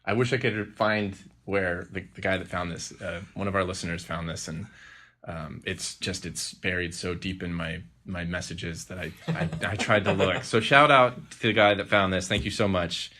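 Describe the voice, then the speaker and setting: A man hosts a casual podcast. His voice sits on the low side with a bright, forceful tone, and he talks in loose, halting phrases that often repeat. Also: fairly even intonation. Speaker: podcast host.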